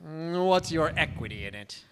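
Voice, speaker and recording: An actor playing a cold, gloating old rich man in a radio drama, with a drawn-out, taunting delivery. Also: on the high side, animated, lightly acted. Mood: cold, mocking. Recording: echoey hall, stage reverb.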